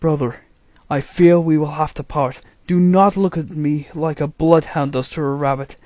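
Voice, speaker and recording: A man's voice: on the high side, steady tone, moderate pace, darkish tone, dry recording, natural voice. A man voicing a resolute, brooding young idealist in a storybook, earnest and assertive.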